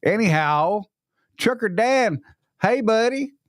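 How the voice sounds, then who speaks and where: A man's voice: high-pitched, expressive, slow, no room sound, clean studio sound. A man hosting a casual live stream, upbeat and greeting chat viewers by name.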